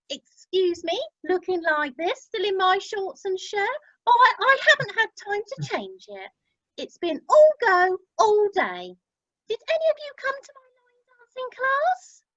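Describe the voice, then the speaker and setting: A woman's voice: very high-pitched, very animated, unhurried, a bit bright, full character voice. A woman playing a bubbly, gushing cruise ship entertainer in an amateur radio play, recorded over a video call. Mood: gushing, cheery. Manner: gushing, cheery announcer patter. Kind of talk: amateur radio drama acting. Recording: dull lo-fi video call audio.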